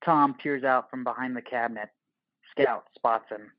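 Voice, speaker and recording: A man reading scripted stage directions for an audio drama as narrator, in deliberate, dramatic short phrases. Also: mid-range voice, steady tone, dry recording, muffled recording.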